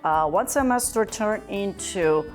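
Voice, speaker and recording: A woman's voice: medium pitch, animated, unhurried, soft background music. A woman from Italy with an Italian accent, Italian as her first language, telling her story in a reflective interview as a university teacher. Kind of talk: interview clip for a tribute video.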